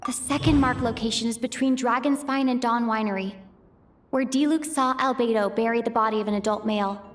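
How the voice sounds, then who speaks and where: A woman's voice: a little high, fairly even intonation, fairly quick, natural voice. A woman voicing a thoughtful young traveler reasoning aloud. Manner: methodical, reasoning aloud, questioning herself. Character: thoughtful young female traveler piecing together clues. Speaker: the traveler in a fan dub. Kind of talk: fan voice acting of a game cutscene, inner monologue.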